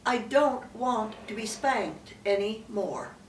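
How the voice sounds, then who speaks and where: A woman's voice: fairly high pitch, expressive, slow pace, echoey room, recorded from a distance, a little hiss or hum. An elderly woman voicing a polite, naive young male elephant child in an earnest storybook reading.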